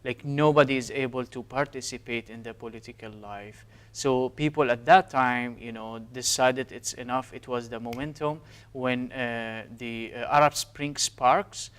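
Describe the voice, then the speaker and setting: A man's voice: medium pitch, fairly even intonation, measured pace, a bit bright, clean tone. A man in his thirties from Syria with a Syrian Arabic accent, answering interview questions earnestly with frequent hesitations. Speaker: guest, physician.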